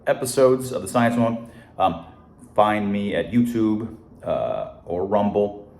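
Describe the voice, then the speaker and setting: A man's voice: on the low side, animated, measured pace, darkish tone. Rambling man in his fifties, echoey room. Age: 50s. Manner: rambling, with many fillers and restarts. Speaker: science podcast host. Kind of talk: casual solo monologue. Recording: echoey room with faint noise.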